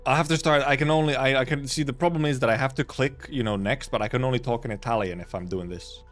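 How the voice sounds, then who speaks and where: A man's voice: medium pitch, quick pace, fairly bright tone, faint music behind. A young man streaming himself reading a game story, making casual, jokey asides and swearing now and then.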